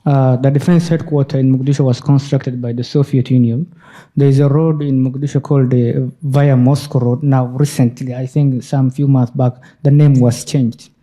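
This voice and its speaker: A man from Somalia in the audience, speaking haltingly with many fillers and restarts during a lecture's question time. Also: medium pitch, little pitch movement, mellow, low-quality recording. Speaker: audience member asking a question. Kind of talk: lecture Q&A comment.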